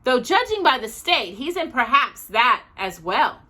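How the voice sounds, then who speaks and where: A woman reader puts on a fierce storybook housekeeper with a soft heart. She is brisk and exclamatory, her bright voice swooping in pitch as she fusses. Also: big pitch swings, exaggerated voice. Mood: dismayed. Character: stern, fierce but kindly woman housekeeper.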